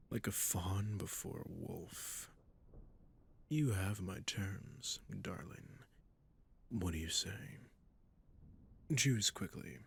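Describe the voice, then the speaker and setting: Low, breathy, sadistic vampire lord acted by a man. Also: fairly bright tone, full character voice. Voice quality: breathy, husky, often near-whispered. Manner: slow, formal, silky, condescending. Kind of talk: intimate scripted roleplay audio acting.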